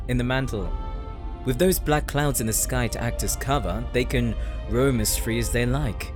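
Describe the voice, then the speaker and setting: A man's voice: medium pitch, natural voice. A man playing a proud, bookish paladin inventor in an audio roleplay, speaking formally and precisely with condescension, over background music. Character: proud, bookish male inventor-paladin, haughty elder brother.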